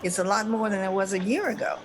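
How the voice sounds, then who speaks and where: A woman's voice: medium pitch, fast, a faint crowd. A woman speaking as a panelist in a casual discussion, sometimes reading aloud. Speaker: panelist on a holiday discussion show.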